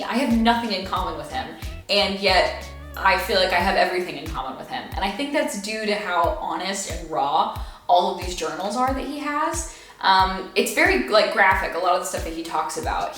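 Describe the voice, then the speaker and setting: A young woman chatting casually to viewers about what she recommends, with an animated, gushing, emphatic manner. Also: fairly low pitch. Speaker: book review vlogger. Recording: echoey room, distant mic, faint music.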